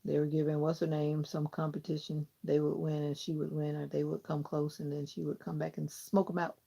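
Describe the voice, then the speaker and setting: Woman, southern us accent, low husky voice, gravelly, chatty vlogger. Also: monotone, a little fast, dark tone. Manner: chatty, laughs often, talks while trimming hair. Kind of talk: casual chat with live viewers.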